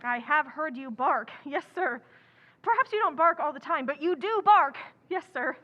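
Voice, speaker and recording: An actress playing an outspoken, impetuous young writer, chattering and blunt, in an old-time radio drama. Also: female voice, high voice, expressive, moderate pace, lo-fi sound. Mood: nervously blunt.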